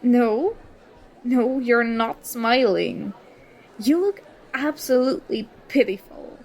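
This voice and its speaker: Casting: female performer as sarcastic college girl in an audio roleplay, highish voice, unhurried delivery, confrontational and wounded pride. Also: a little mellow, a little hiss or hum, low-quality recording. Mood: accusing, hurt. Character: indignant young woman student, sarcastic former school enemy. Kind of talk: ASMR roleplay acting, one side of a dialogue.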